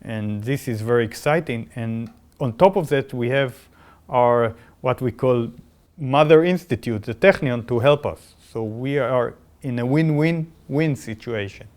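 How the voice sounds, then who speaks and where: A man's voice: medium pitch, measured pace. A man with an Israeli accent speaking as a professor in a conversational podcast, enthusiastic, with non-native English phrasing. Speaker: biotechnology professor.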